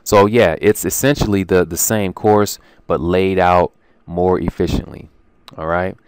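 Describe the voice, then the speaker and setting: A man's voice: sits low, fairly even intonation, measured pace, smooth, no room sound. A man casually walking viewers through his language course materials, informal and chatty with lots of ums and restarts. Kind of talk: casual screen-share walkthrough.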